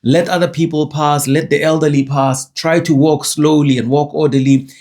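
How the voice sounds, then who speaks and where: A man's voice: fairly high pitch, steady tone, normal speed, darkish tone. A young man with a South African accent, talking casually to camera in a rambling, self-correcting way. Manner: digressive, self-correcting, chatty. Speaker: vlogger.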